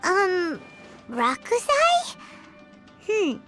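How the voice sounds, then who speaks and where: A woman voicing a small, timid, excitable fairy companion in a video game, who whines and talks about herself in the third person. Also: very high-pitched, very slow, heavily acted. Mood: curious. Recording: game music behind the voice.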